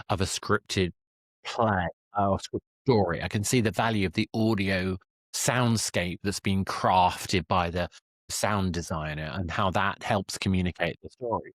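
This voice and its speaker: A man's voice: on the low side, no echo. A man hosting an interview podcast, talking conversationally in a thoughtful, wordy way that circles around his point. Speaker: podcast host interviewing a guest.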